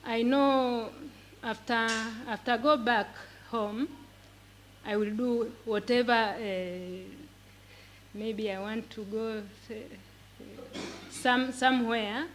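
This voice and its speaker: Slow-speaking Tanzanian woman, halting delivery. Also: on the high side, steady tone, slight background noise, rough recording. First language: Swahili. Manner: halting, repeating words as she searches for them. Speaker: pre-primary teacher and conference speaker. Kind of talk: prepared talk in simple English.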